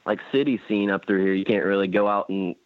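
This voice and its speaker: A man's voice: low voice, steady tone, fast, no room sound. A young man from Indiana, a guest talking casually and rambling a little over the phone. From Indiana, USA. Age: young adult. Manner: laid-back, rambling with fillers. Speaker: guest rider. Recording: tinny phone line.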